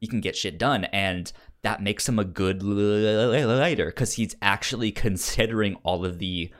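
Low-voiced podcast co-host, halting and stumbling. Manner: halting, often repeats and stumbles on words. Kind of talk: casual comics discussion.

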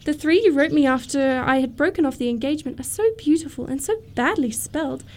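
A woman's voice: high voice, fairly quick. A woman playing a romantic, self-assured young upper-class lady in a period comedy radio play, precise and sweetly matter-of-fact. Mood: prim, dramatic.

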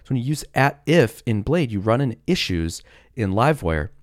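A man hosting a casual solo podcast, sick with a cough that has deepened his voice, rambling with many fillers and restarts. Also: big pitch swings, moderate pace, no echo, clean studio sound. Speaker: podcast host.

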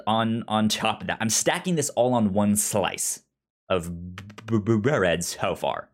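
A man's voice: fairly low pitch, measured pace. A man co-hosting a casual chat show, with a stutter that repeats syllables and sounds as he talks. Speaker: podcast co-host.

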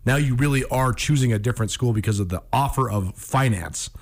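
A man's voice: medium pitch, fairly quick. A man hosting a sports talk show, explaining and bantering in a casual, colloquial way with some wry exaggeration. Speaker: sports talk radio host.

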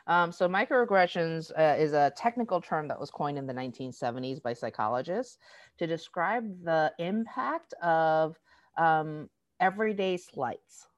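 Woman, low voice, dry sound, animated storyteller, acts out dialogue. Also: normal speed, no room sound. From United States. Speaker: diversity educator. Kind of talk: conversational interview.

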